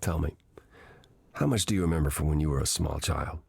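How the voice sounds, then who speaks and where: An actor playing an ancient, weary god in a video game, speaking as a gentle, patient mentor in a measured, reflective way. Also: male voice, rumbling low, vocal fry, husky, no echo, exaggerated voice.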